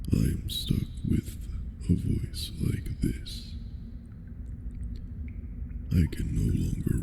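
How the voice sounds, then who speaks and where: An ancient dragon master in an ASMR roleplay, with a scorched throat crackling in extreme vocal fry. The delivery is very slow and half-whispered, commanding and condescending, with a teasing, seductive edge. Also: medium pitch, exaggerated voice. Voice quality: burned, gravelly vocal fry, mostly unvoiced.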